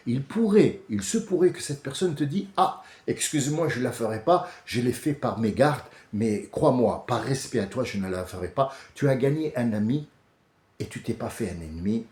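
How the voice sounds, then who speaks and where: A French man hosting a casual monologue, animated and often restarting, rising to heated outbursts. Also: mid-range voice, normal speed. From France. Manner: many restarts and hesitations. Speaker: host. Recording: echoey room.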